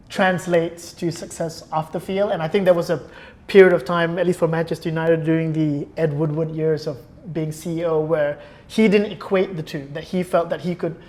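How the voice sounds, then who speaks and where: A man's voice: a little high, darkish tone. Reflective man in casual interview. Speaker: podcast guest.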